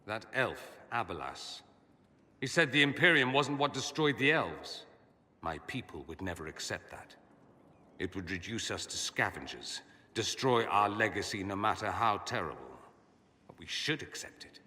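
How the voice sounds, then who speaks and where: A man's voice: on the low side, animated, normal speed, bright, forceful tone, big-room sound. An actor playing a witty, earnest nobleman mage in a video game, speaking with wry, theatrical eloquence. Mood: earnest resolve. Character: witty, earnest, self-questioning mage nobleman, adult man. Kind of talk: video game cutscene acting.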